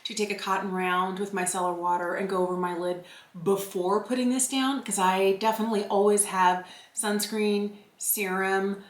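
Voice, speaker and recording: A woman in her late forties talks through a makeup routine in a relaxed, chatty way, poking fun at herself as she goes. Her voice sits at an ordinary middle pitch for a woman. The room around her rings with noticeable echo. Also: moderate pace. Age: 40s. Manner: chatty and self-deprecating, narrating as she applies makeup. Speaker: beauty vlogger. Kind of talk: casual makeup tutorial chat.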